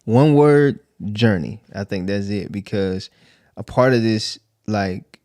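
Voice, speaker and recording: A man's voice: on the low side, slow, dark tone, no echo. A man talking as a podcast guest in a laid-back, easygoing way, dropping "you know" and "man" often. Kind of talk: casual interview chat.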